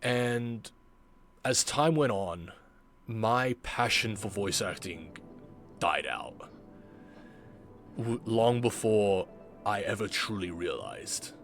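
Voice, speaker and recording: A man narrating a reflective vlog in a deliberate way, with dramatic pauses and emphatic repetition. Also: on the low side, animated, a bit bright, soft background music. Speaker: video creator, former amateur voice actor.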